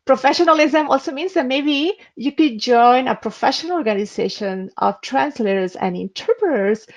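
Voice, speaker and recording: Gravelly-voiced woman. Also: on the high side, darkish tone. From Spain. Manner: earnest, advisory, frequent hesitations. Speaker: interpreter giving a webinar. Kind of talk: instructional webinar talk with screen demo. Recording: dull lo-fi webinar audio.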